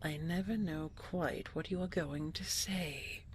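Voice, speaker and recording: A woman playing a regal, possessive dragon queen in an intimate audio roleplay, with a breathy, whispery voice and formal, coaxing speech full of endearments. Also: low voice, steady tone, measured pace, a little hiss or hum. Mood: tender, wistful.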